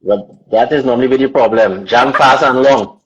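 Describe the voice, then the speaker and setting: A man from Trinidad with a Trinidadian accent, an artist manager speaking in a relaxed, slow way. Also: mid-range voice, husky, lo-fi sound. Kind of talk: casual interview chat.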